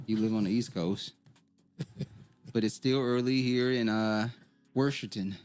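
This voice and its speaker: Animated man chatting on a podcast. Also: fairly low pitch, measured pace, faint music behind. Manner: animated, laughs often, acts out quotes. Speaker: podcast co-host. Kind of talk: casual chat.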